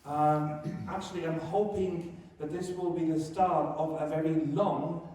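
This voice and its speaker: A man's voice: fairly high pitch, steady tone, unhurried, warm tone. A man from Germany, a teacher, leading a conference workshop in a self-deprecating, joking manner. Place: conference room. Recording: echoey room, distant mic, faint noise.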